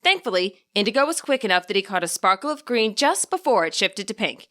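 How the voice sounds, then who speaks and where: A woman's voice: medium pitch, sing-song, fairly quick, a bit bright. A woman reading her own fan fiction aloud as a scripted story narration.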